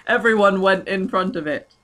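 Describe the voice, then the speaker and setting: A woman's voice: mid-range voice, measured pace, fairly bright tone. A young woman doing a comedic dramatic reading of fan fiction, giggly and dropping in mocking asides as an amateur reader. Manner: giggly, frequently breaks into laughter, mocking asides.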